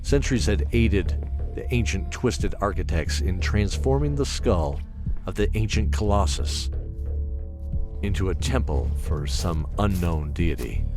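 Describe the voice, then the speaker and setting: A man narrating a scripted fantasy audio drama in measured storytelling style. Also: low voice, lively intonation. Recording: loud music behind narration.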